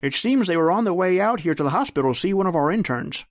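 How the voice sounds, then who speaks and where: Man playing a kindly older doctor, higher-pitched. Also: lively intonation, fast, dry recording, studio quality. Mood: casual, hesitant. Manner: folksy, hesitant, encouraging. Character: older kindly male doctor, fatherly and appreciative. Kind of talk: old-time radio drama acting.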